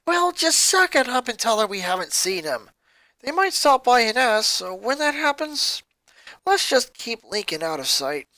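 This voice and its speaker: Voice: medium pitch, sing-song, moderate pace, sharp tone, dry recording, voice pushed up, rough recording. A reader voicing a bossy, exasperated young sister in a story. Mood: firm, scheming.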